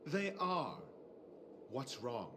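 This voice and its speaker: A man's voice: mid-range voice, very animated, noisy background. An actor playing a stern, formal man with little patience, speaking precisely and curtly in a video game. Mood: impatient. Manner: measured, precise, curt.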